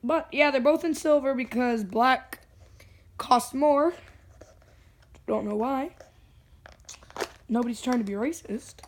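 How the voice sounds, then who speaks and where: Hoarse young unboxing vlogger, high voice, casual and jokey, on a camera mic. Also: takes it slowly, pauses often, a little hiss or hum. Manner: chatty, jokey, self-talking. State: hoarse, lost voice.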